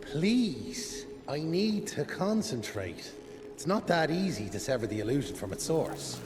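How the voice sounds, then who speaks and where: A man's voice: fairly high pitch, sing-song, unhurried, background noise. A man playing a fussy, haughty captive vampire illusionist in a game cutscene, prim and theatrical. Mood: nervous. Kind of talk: dramatic game cutscene acting. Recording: very loud music behind voice.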